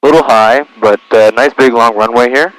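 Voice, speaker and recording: A man's voice: medium pitch, normal speed, a bit bright, no room sound, poor audio. A man piloting a small plane, narrating each procedure as he goes and making radio calls, heard through a cockpit headset. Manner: talks himself through checklists and procedures. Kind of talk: vlog narration of his flying and radio calls. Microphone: aviation headset.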